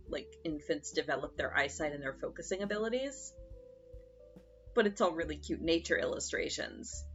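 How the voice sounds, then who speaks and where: A young woman with a low voice talks casually to her stream, drifting from thought to thought with plenty of fillers. Her nose is stuffed up and her voice sounds gravelly from congestion. Quiet music plays faintly underneath. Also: dull audio. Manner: chatty, rambling with fillers. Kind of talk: casual chat while sewing.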